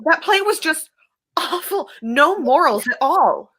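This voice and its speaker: Woman acting a high, sharp, prim church lady. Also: animated, takes it slowly, full character voice. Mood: indignant. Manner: prudish, scolding. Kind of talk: comic staged play reading.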